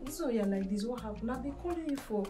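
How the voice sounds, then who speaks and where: Woman as a bright-ish Nigerian friend, concerned and bossy, faint music. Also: on the high side, expressive, fast talker, natural voice. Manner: concerned, chiding. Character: caring young woman friend, scolding.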